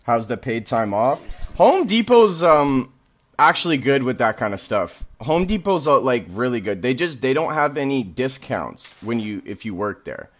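A man's voice: mid-range voice, sing-song, normal speed, a bit bright. A man streaming a shooter game from home, chatting loosely with teammates, joking and swearing, talking into a headset mic. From Canada. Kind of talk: casual gaming chat with teammates. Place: small room at home.

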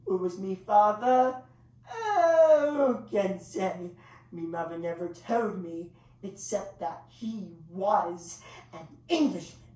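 A woman performing a dramatic monologue as a grandiloquent old English male clown, pausing for effect as he tells his bittersweet tale. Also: mid-range voice, animated, dark tone. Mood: wry reminiscence. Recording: echoey room, distant microphone.

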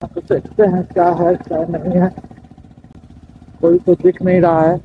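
A man's voice: sits high, monotone, unhurried, phone-quality sound, background noise. A young man giving casual motovlog commentary from his bike, talking directly to viewers and repeating himself. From Bihar, India. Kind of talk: casual vlog commentary while riding. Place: outdoors on a motorbike on town roads.

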